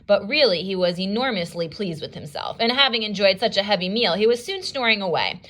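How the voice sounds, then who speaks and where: A woman with a bright, forceful voice, teaching through a storybook read-aloud. Her pitch moves widely as she explains and narrates expressively. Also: expressive, fairly quick.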